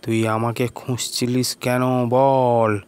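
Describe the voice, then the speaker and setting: A man's voice: medium pitch, level tone, slow, darkish tone, clear, resonant tone, lightly acted. Man voicing a blunt, menacing female cartoon ghost. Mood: demanding. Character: menacing female tree-dwelling ghost who eats children.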